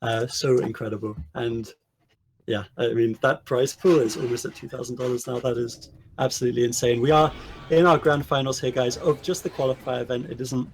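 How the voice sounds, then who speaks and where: A man's voice: mid-range voice, fairly even intonation, a little fast, a little hiss or hum, low-quality recording. A man casting a live esports event with enthusiastic energy. His voice is starting to tire after a long night, and he sits in the middle of the usual male range. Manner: enthusiastic, thanks donors effusively. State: voice tiring. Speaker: esports caster.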